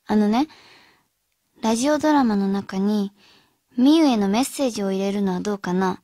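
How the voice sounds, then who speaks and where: A woman's voice: medium pitch, moderate pace, warm tone, no echo, clean studio sound, lightly acted. A woman acting as an earnest teenage schoolgirl who leads her club friends in a radio drama. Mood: determined.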